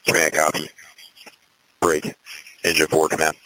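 A man's voice: very deep voice, completely flat delivery, a little slow, sharp tone, dry recording, poor audio. A man working as a fire incident commander, giving clipped, composed orders over a two-way radio. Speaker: fire battalion chief acting as incident commander. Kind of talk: procedural emergency radio dispatch traffic.

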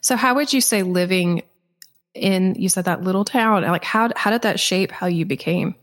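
A woman's voice: on the low side, normal speed. A woman hosting an interview podcast, presenting and putting questions to a guest.

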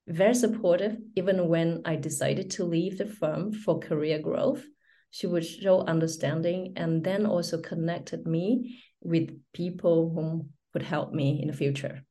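Woman, podcast host, middle-aged, admiring, laughs easily, lower pitch. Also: warm tone. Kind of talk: podcast interview.

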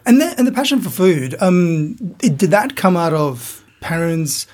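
Easygoing interview host guy, kinda husky, bit higher voice, chuckles a lot. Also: very animated, a little slow, darkish tone. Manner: affable, laughs easily, frequent backchannel. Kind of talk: casual interview conversation.

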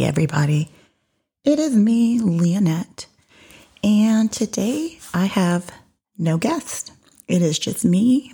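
A woman's voice: lowish voice, gravelly, mellow, slightly rough. A woman born in Puerto Rico hosting a solo podcast, chatting in a rambling way with many ums and restarts. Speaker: podcast host. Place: home in Georgia, USA.

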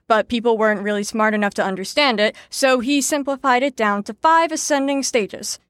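Audio drama role: sarcastic woman running a psychology lesson played by an actress, higher voice, dry studio sound, falsely upbeat. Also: fairly bright tone, no room sound, close to an everyday voice. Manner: sardonic, mock-cheerful.

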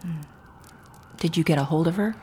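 An actress playing a stubborn, wry woman in a stage play who jokes through her fear, with a husky voice and a rambling manner. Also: sits low, moderate pace, a little mellow, slight background noise, lightly acted. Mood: dry, subdued.

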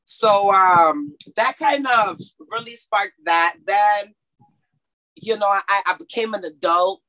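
A woman's voice: medium pitch, takes it slowly, pushed and bright, poor audio. A woman who grew up in Mexico and went to school in Texas, a massage therapist chatting casually in an interview, laughing often and restarting sentences.

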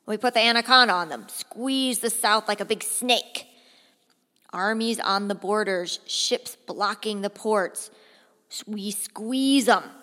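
A woman's voice: medium pitch, takes it slowly, bright, forceful tone. Woman playing an emphatic army general in echoing hall. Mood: forceful, scheming. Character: forceful, excitable male army general obsessed with snakes. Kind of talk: dramatic graphic novel read-aloud.

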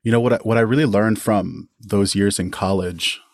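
A man's voice: lowish voice, steady tone, moderate pace. A man speaking as a podcast guest, giving casual, thoughtful explanations as a creative artist. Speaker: interview guest, audio drama creator. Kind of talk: casual, reflective interview answers.

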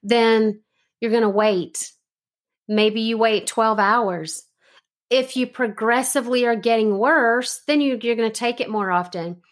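A woman hosting a podcast, giving relaxed, instructive advice on home remedies with frequent fillers. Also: a little high, a little slow. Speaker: homeopathy teacher and podcast host. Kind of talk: casual podcast chat.

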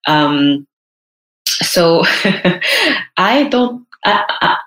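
A woman's voice: fairly low pitch, very animated, drawn-out words, fairly bright tone, roomy sound. A woman in her thirties, a stylist talking in an interview, hesitant and full of fillers and restarts. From France. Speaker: guest, personal brand stylist. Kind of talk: casual interview chat.

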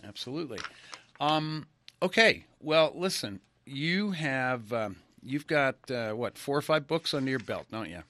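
A man in his sixties from Connecticut hosting a radio interview, chatty, laughing often and filling pauses with ums. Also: medium pitch, lively intonation, pushed and bright, no echo. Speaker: radio show host. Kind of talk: casual interview chat.

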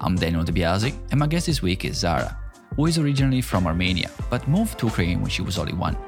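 A man's voice: low-pitched, sing-song, fast, over music. A man hosting a podcast, reading a scripted intro and then asking curious, self-deprecating questions in casual conversation. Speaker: podcast host.

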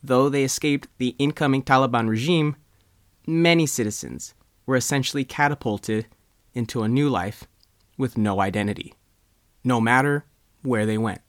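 A male news reporter reads a scripted article in a measured way, breaking sentences into short phrases. His pitch sits in the middle for a man, and the sound is dry, with very little room in it. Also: dry recording. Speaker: news reporter and writer reading own article.

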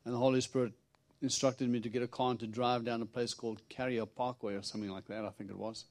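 A man's voice: mid-range voice, flat delivery, fast talker, darkish tone, no room sound. A middle-aged man from South Africa with a Texan accent, preaching a chatty, humorous sermon and acting out his talks with God. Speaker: visiting preacher. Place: church.

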